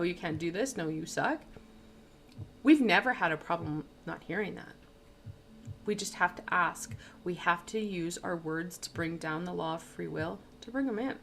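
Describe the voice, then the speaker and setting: A woman's voice: mid-range voice, slight background noise. A woman giving a casual livestream tarot reading, rambling and stumbling over words and poking fun at her own slips, into a plug-in microphone. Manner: rambling, stumbling, self-deprecating. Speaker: tarot reader. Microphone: plug-in studio microphone.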